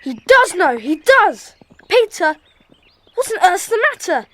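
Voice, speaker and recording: An actress playing a casual, excitable schoolchild in a children's audio drama. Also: female voice, very high voice, unhurried, full character voice. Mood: excited. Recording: faintly hissy old cassette.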